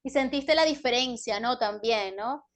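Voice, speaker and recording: A woman's voice: fairly high pitch, moderate pace. A Latin American woman hosting a casual interview, prompting her guest with friendly questions. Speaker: podcast host.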